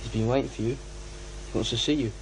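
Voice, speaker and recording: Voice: mid-range voice, fairly even intonation, fast talker, slight background noise, close to an everyday voice, rough recording. An actor playing a sullen, secretive young boy with a blunt, matter-of-fact manner in a radio drama.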